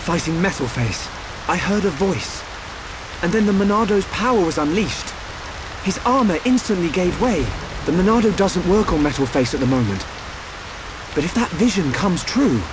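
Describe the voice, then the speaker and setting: Sincere young game hero, light high voice, thoughtful, with background noise. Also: male voice, expressive, normal speed. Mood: pensive. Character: earnest, thoughtful young man hero, resolute. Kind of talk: video game cutscene voice acting.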